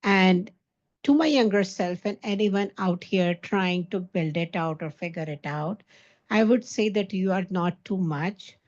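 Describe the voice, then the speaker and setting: Woman, middle-aged panelist, low-ish, reflective, gentle; lo-fi recording. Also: unhurried. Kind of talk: panel discussion answer.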